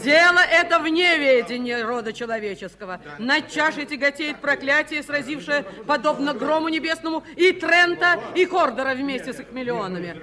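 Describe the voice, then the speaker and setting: An actor playing a fanatical spiritualist prophet of doom, declamatory and insistent, in an early 1980s radio play. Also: male voice, extremely high pitch, normal speed, few pauses, bright, forceful tone, full character voice. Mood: ominous, exalted.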